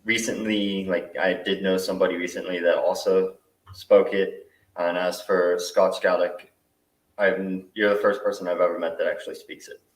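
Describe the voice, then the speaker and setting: Male host, very low voice, relaxed and thoughtful; roomy echo, off-mic. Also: moderate pace, distant mic. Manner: relaxed, thoughtful, with frequent fillers. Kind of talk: casual video call chat. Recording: echoey room, away from mic.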